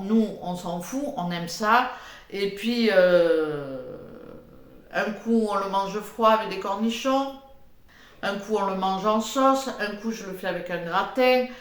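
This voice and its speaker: An older woman with a head cold, chatting casually in a cooking vlog, rambling with many pauses and fillers. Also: medium pitch, roomy sound. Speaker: cooking vlogger.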